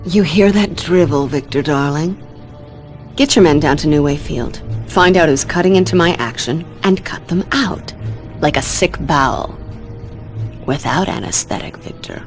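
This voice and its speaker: Low-voiced, ruthless female crime boss. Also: normal speed. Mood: menacing, scornful. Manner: imperious, foul-mouthed, cutting. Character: ruthless, haughty crime heiress boss, contemptuous and menacing. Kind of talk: dramatic video game cutscene acting. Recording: background music under the voice.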